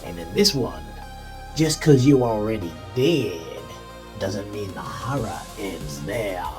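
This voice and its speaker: A man's voice: on the low side, lively intonation, slow. An actor playing a creepy, folksy horror-host uncle with a Southern US drawl, teasing and mock-soothing as he introduces a spooky tale. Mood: sinister, teasing. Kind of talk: theatrical spooky storyteller introduction. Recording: music playing behind the voice.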